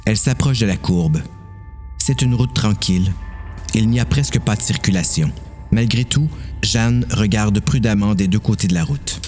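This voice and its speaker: A man's voice: fairly low pitch, moderate pace, a little mellow, faint music behind. A man with a Quebec French accent narrating a dramatised horror story.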